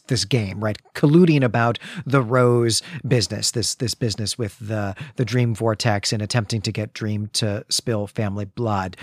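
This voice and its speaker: A man hosting a podcast, explaining a story analytically in measured, careful phrases. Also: fairly low pitch, no room sound, clean studio sound. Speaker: podcast host. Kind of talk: analytical book discussion.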